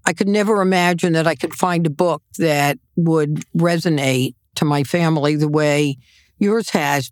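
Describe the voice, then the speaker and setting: A woman's voice: fairly low pitch, no echo, clean studio sound. A woman hosting a podcast interview, supportive and finishing her guest's sentences. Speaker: podcast host. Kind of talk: interview conversation.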